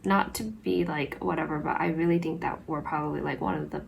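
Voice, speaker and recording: A woman's voice: low-pitched, brisk, vocal fry, slight background noise. A young woman co-hosting a casual podcast chat. She talks emphatically, with many fillers. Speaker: podcast co-host.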